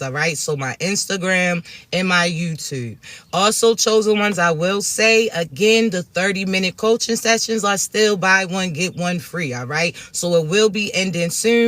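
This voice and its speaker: A man with a raspy voice, a spiritual coach giving a casual, affectionate, reassuring message straight to his viewers. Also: high voice, sharp tone, dry recording. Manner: affectionate, reassuring, calls listeners "chosen ones" and "babies".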